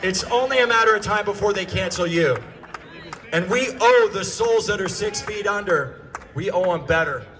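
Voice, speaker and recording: American male activist, high and forceful voice, impassioned rally oratory with emphatic, projected phrasing. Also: normal speed, some chatter behind. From United States. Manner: emphatic, repeats key phrases for effect; projected, often shouting. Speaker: political activist addressing a rally.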